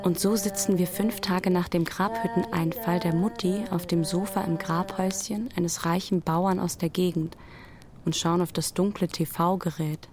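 Actress as a homeless daughter narrating over faint noise. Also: female voice, lowish voice, steady tone, normal speed, darkish tone, close to an everyday voice. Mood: wry. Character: defiant homeless Roma daughter telling her own story. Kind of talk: radio play monologue, narrating.

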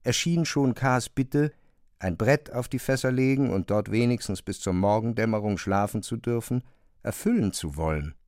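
A man narrating a literary radio drama from a script, in a measured, articulate storytelling manner. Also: on the low side, darkish tone, no room sound, studio quality.

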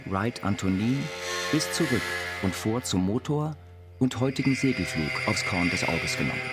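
A man giving a literary reading of written texts for a radio feature. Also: medium pitch. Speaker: reader of an inventor's writings. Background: music.

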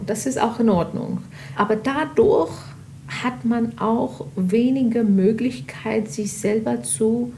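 A woman's voice: medium pitch, vocal fry, mellow, a little hiss or hum. A woman, telling her story conversationally, searching for words and slipping into English. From Pakistan, of Afghan family. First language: not German. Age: 20s. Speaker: interview guest.

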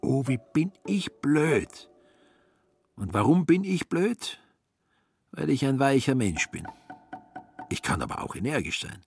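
A man's voice: medium pitch, very animated, measured pace, creaky, pauses often, a little hiss or hum. A man narrating a literary radio play in a wry storytelling manner. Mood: wry, grumbling. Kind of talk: literary narration with acted inner monologue.

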